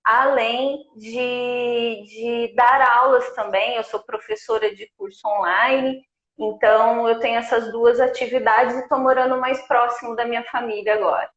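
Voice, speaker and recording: Woman, forty, fairly high voice, chatty guest, roomy muffled call sound. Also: steady tone, unhurried. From Brazil. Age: 40s. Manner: warm, conversational, storytelling. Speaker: guest nutritionist. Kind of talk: casual live chat. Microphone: remote video call. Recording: echoey, dull remote call audio.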